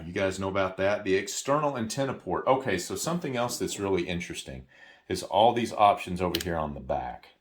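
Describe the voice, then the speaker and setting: Man, very low-pitched voice, casual product walkthrough, informal explanatory delivery, emphatic repetition. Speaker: product tutorial presenter. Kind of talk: casual hands-on product tutorial.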